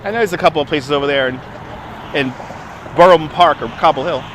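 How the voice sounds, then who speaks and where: A man's voice: fairly high pitch, fairly bright tone, a little hiss or hum, low-quality recording. A man with a New York accent, chatting casually with viewers during a live walking stream outdoors on a waterfront, recorded on a phone on a gimbal. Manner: chatty, answering viewers, quick laughs. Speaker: livestream host. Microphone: phone on a handheld gimbal.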